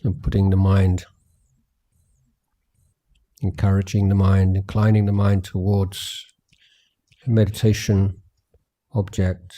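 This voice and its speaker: A man guiding a meditation as a teacher, speaking gently and in a measured way with long pauses. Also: low-pitched, completely flat delivery. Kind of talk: guided meditation talk at a retreat.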